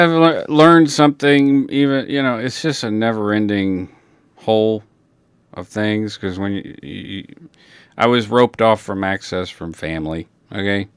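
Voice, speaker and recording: A man with a very low voice talks slowly through a personal, unscripted reflection. He is a native Creole French speaker, and he often halts to search for words or trails off. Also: smooth. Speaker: personal documentary narrator.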